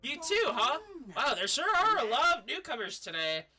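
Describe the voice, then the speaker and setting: A self-important little robot guard from a game read out by a streamer, chatty and full of questions. The voice is bright and lively over game music. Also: sits high, moderate pace, voice pushed up, heavily acted. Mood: suspicious. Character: officious, chatty Bangbu robot gang guard.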